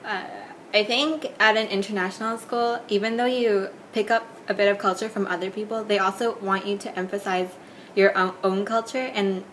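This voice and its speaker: A woman's voice: medium pitch, fairly even intonation, moderate pace, a bit bright, a little hiss or hum, low-quality recording. A young woman from Hong Kong, a university student, talking casually in an interview. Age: young adult. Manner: casual, chatty, says like often. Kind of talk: interview answer.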